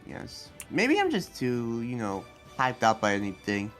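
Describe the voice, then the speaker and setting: Music plays behind a man acting as an earnest demon slayer who guards his town. He explains himself in a halting, rambling way, with an average-pitched voice, as in an improvised video game roleplay. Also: huge pitch swings, a bit bright. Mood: defensive, earnest. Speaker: roleplay player. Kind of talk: improvised Minecraft anime roleplay dialogue. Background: background music.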